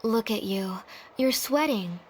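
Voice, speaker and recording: A gentle, courteous mother from a video game. Her voice is a little high and has a caring manner. Faint sound is heard behind her. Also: expressive, takes it slowly, a little hiss or hum. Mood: fond fussing.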